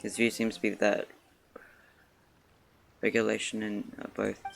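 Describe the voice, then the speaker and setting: A man streaming a video game casually, muttering in a subdued way and halting as he reads aloud. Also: fairly low pitch, completely flat delivery, gravelly, a bit bright, faint music behind. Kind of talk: casual live-stream muttering while playing.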